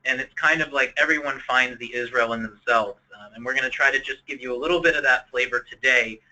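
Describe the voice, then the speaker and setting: A man from Ohio giving a webinar talk in a loose, chatty way, with lots of ums and self-corrections. His voice is very bright and forceful, and his pitch sits mid-range for a man. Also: fast, poor audio. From Ohio, United States. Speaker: tourism office presenter. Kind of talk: casual presentation.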